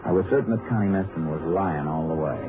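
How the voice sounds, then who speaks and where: An actor playing a steady, skeptical Texas Ranger in a 1950s radio crime western, speaking in a terse, dry deadpan. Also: male voice, sits low, fast talker, natural voice. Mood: dry, probing. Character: steady, skeptical male Texas Ranger lawman narrating his case. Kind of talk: 1950s radio crime western acting, clipped narration and dialogue. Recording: muffled old radio recording with music behind.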